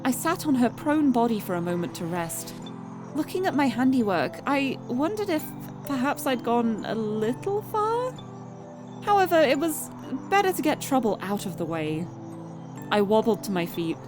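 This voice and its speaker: A woman's voice: on the high side, very animated, normal speed. A woman streamer reading visual novel narration aloud, expressively and dramatically, with casual asides to viewers. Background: music.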